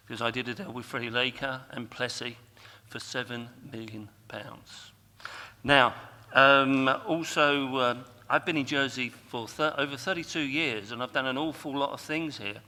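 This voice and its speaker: A man's voice: mid-range voice, fairly even intonation, normal speed, sharp tone. A man in his sixties giving a boastful hustings speech as an election candidate, listing his achievements. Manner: boastful, self-promoting. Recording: echoey hall with long reverb.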